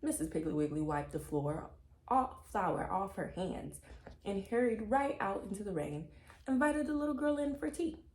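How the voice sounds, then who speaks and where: Mellow woman reading a storybook, roomy sound. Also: medium pitch, animated, moderate pace. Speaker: read-aloud narrator. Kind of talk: expressive children's storybook reading.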